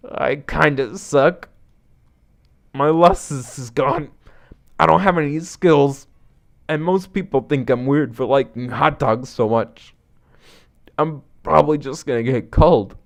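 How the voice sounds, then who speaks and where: A man's voice: mid-range voice, creaky. Man playing an insecure, emotional slacker dude. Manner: halting, self-deprecating, casual slangy talk. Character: slacker hot-dog-obsessed male would-be friend, insecure, emotional, dude-ish.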